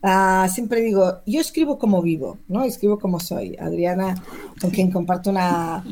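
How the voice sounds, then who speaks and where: Talkative, expressive woman from Spain. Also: medium pitch, normal speed, slight background noise. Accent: Spanish, from Spain. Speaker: interviewed novelist. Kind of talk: conversational interview.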